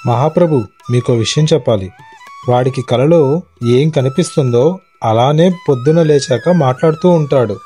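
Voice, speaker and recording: A man playing a witty, wily court poet in an animated moral story, exclaiming and persuasive in a colloquial way. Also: medium pitch, moderate pace, faint music behind, natural voice. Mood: feigned innocence. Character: witty, wily adult male court poet, husband and father.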